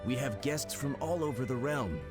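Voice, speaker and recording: A man's voice: medium pitch, moderate pace, lightly acted. An actor playing a jovial, teasing and courteous male general in a video game cutscene. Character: hearty genial male general escorting an honored guest. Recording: loud orchestral music behind.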